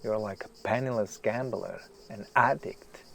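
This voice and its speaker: Man acting a brooding, gravelly, low-voiced tormented writer. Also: moderate pace. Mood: agitated. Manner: brooding, intense, swinging between hushed and emphatic. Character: anguished, self-doubting famous male writer, restless and self-deprecating. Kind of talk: naturalistic audio drama monologue. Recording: clear background hiss, outdoor nature ambience.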